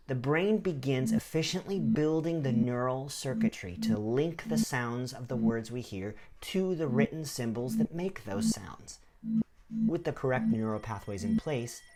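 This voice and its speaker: A man presenting a scripted educational video in the first person, with an expressive, explanatory delivery. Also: medium pitch. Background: background music.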